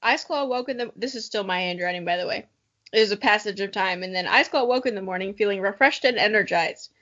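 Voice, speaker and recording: A woman's voice: mid-range voice, quick pace, a bit bright, muffled recording. A woman podcast co-host giving a dramatic reading of a childhood story with self-mocking, giggly asides.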